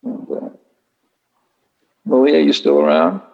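A man leading a Q&A with an audience in a meeting hall, talking in an unhurried, musing way with dry humor. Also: deep voice, sing-song, muffled recording. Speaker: speaker leading a Q&A session. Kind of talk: reflective talk answering an audience.

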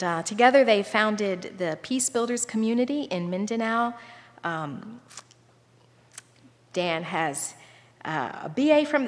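Woman giving an intro at church, lots of ums, hall echo trailing off. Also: medium pitch. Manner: hesitant, many fillers. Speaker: mission representative introducing a guest. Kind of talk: casual introduction. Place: church sanctuary.

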